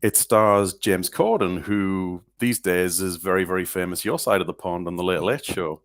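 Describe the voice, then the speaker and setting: Deep-voiced English man, rambling chat. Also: steady tone, moderate pace, no echo, studio quality. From northeast England. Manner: rambling, hesitant, full of restarts and fillers. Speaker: podcast co-host. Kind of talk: casual chat about music.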